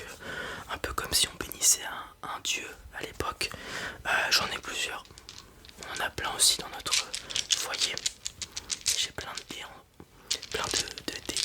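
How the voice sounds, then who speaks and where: A performer voicing a reassuring fantasy dragon breeder in an ASMR roleplay, whispering breathily and explaining things informally, with small hesitations. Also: sharp tone.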